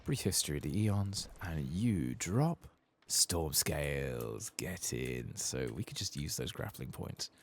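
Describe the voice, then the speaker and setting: A soft-spoken man murmuring unhurried ASMR game commentary, often slipping into a whisper as he muses to himself. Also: extremely low pitch, expressive, a little hiss or hum. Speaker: gaming streamer, ASMR channel host.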